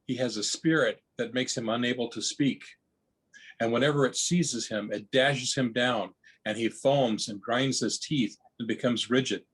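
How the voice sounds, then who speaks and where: Husky-voiced man reading scripture. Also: medium pitch, normal speed, low-quality recording. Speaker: scripture reader. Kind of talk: Bible reading. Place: at home, on a video call. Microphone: video call.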